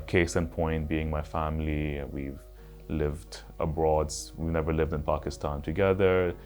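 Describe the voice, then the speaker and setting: A man in his late twenties giving thoughtful, measured interview answers with some fillers. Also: a bass voice, darkish tone. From Switzerland. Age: late 20s. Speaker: interview guest.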